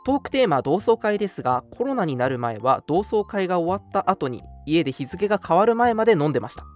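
A man's voice: high voice, big pitch swings, fast talker. A young man hosting a radio show, chatting casually with a friend in an agreeable way. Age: young adult. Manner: agreeable, often repeats affirmations. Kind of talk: casual chat between friends. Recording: music playing behind the voice. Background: background music.

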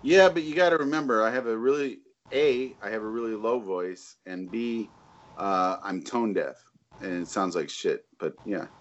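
A man's voice: on the low side, moderate pace, muffled recording. A man who is a guest on a podcast, talking bluntly and crudely in casual banter. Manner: blunt, profane, animated.

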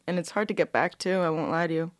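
A woman's voice: on the low side, steady tone, fast, dry recording, studio quality. A woman born in Russia, a guest on a podcast, chatting in a laid-back way and swearing casually. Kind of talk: casual interview chat.

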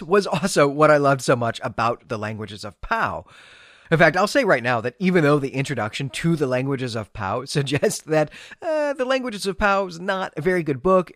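A man's voice: medium pitch, fairly bright tone, no echo, studio quality. A man hosting a solo podcast, reviewing books in an enthusiastic, emphatic, conversational way. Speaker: podcast host and book reviewer.

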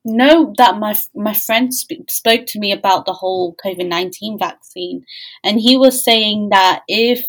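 A woman's voice: medium pitch, measured pace, rough recording. A woman hosting a podcast, speaking in a casual, conversational interview style. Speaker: podcast host.

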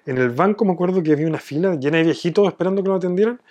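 A man's voice: high-pitched, rapid-fire, a little mellow. A man from Chile with a Chilean accent, explaining things from his own experience in a conversational interview. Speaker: business owner being interviewed.

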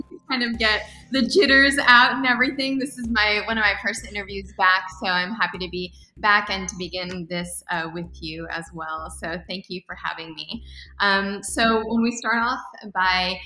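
A woman's voice: medium pitch, pushed and bright. A young adult woman, a podcast guest, chatting in an upbeat, rambling way with fillers. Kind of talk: casual interview answers. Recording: roomy echo with faint music.

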